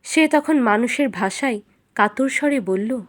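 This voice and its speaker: A woman's voice: on the high side, animated, measured pace. A woman narrator reading a scripted fairy tale for children.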